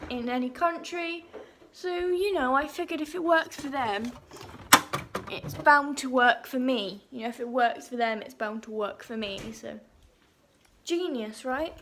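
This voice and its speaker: Croaky-voiced woman vlogging. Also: high voice, normal speed, fairly bright tone, slight background noise. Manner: chatty, rambling, repeats herself. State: croaky voice from a sore throat. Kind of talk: casual vlog chat.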